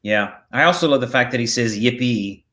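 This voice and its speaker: Halting, informal male host, medium pitch. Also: a bit bright. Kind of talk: casual hosting chat.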